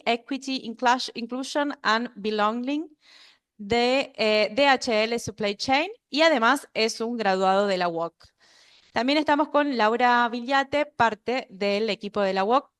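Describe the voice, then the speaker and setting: A woman presents an online event as its host. Her voice sits a little high and is very clean and smooth. She speaks politely and formally, following a prepared introduction. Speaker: webinar host.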